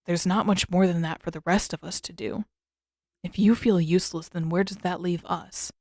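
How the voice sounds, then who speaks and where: Woman as a low, deadpan teenage boy. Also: moderate pace, dry recording, clean studio sound, natural voice. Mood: wry. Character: dry, wry teenage boy. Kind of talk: audiobook character acting.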